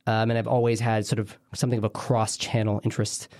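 Fast-talking, gravelly American-accented man. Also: lowish voice, flat delivery, darkish tone, no room sound, studio quality. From Israel. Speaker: interview guest, legal scholar and attorney. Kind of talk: conversational interview answers.

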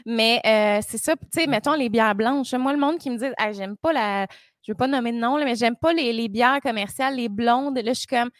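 Giggly young Québécoise, higher voice. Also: female voice, moderate pace, dry recording, studio quality. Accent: Québécois French. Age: 20s. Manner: chatty and giggly, storytelling with lively asides. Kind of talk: casual chat.

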